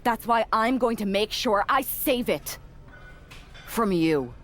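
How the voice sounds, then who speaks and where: Defiant, accusing female wrestler, high-voiced. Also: lively intonation, takes it slowly, a bit bright, soft background music. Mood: cold, resolute. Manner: accusing, resolute. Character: betrayed defiant female wrestler addressing the crowd. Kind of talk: dramatic wrestling promo in a video game.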